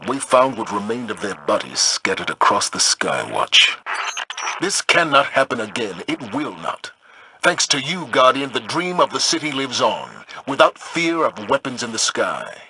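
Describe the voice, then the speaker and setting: An actor playing a grave, steadfast military commander in a video game, speaking in a solemn, measured, authoritative way. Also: male voice, medium pitch, sing-song, background noise. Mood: resolute, commanding. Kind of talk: scripted video game voice acting.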